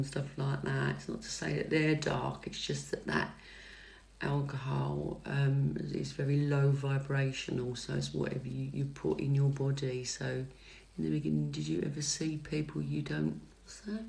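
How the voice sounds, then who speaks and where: A middle-aged woman, a psychic tarot reader chatting on a livestream and answering comments in a soft, calming voice, hesitant with many fillers and restarts. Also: a bass voice, normal speed, vocal fry. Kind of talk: casual livestream chat answering viewer comments. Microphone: phone livestream mic.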